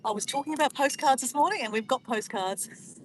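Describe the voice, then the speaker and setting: A woman's voice: sits high, brisk. An Australian woman with an Australian accent chatting in a casual, rambling, informal way as she hosts a livestream. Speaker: livestream host. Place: outdoors in nature near water. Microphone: phone or camera on a tripod. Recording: noisy outdoor livestream, clear background noise.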